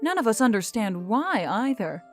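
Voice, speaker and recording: A woman voicing a gentle, earnest otherworldly woman in audiobook dialogue, reassuring and measured in formal phrasing. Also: fairly high pitch, big pitch swings, soft background music. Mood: tender.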